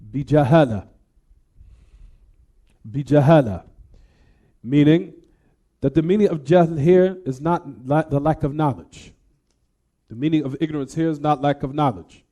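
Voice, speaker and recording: A man's voice: on the high side, normal speed, pauses often, dark tone. A man giving a religious lecture, explaining a text in a didactic way and posing rhetorical questions to his listeners.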